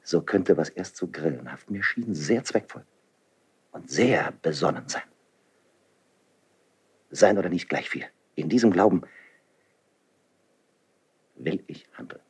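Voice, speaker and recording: A proud freethinking nobleman in classical radio drama. His voice is lowish, dark and husky, and he declaims his idealistic verse fervently and with deliberation. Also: expressive, exaggerated voice. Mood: musing, resolute. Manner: declamatory, impassioned, deliberate phrasing.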